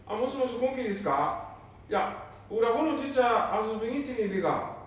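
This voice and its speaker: A man playing a gruff middle-aged son with a Nanbu dialect in an amateur radio drama. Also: very high-pitched, expressive, fairly quick. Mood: flustered. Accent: Nanbu dialect, northeastern Japan. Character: middle-aged eldest son, gruff, embarrassed by his father. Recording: very echoey, distant, faint noise.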